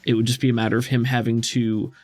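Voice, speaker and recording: A man's voice: mid-range voice, little pitch movement, fast talker, a little mellow, dry recording, soft background music. A man working as game master, narrating and explaining a tabletop game in a casual, conversational way.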